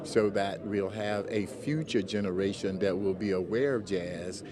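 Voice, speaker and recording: A man's voice: lowish voice, steady tone, unhurried, background noise. A man explaining his organisation's work in an interview as its representative. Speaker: jazz society representative.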